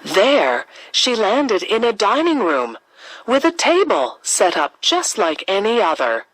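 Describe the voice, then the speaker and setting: A woman narrating a scripted children's fairy tale as a storyteller, with expressive, dramatic emphasis. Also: mid-range voice, slow pace, no room sound, low-quality recording.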